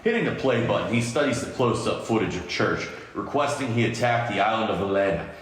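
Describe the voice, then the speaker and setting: Low-voiced man narrating, very echoey. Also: expressive, a little fast. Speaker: podcast host reading stage directions. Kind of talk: scripted narration in an amateur table read. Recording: very echoey room, long reverb.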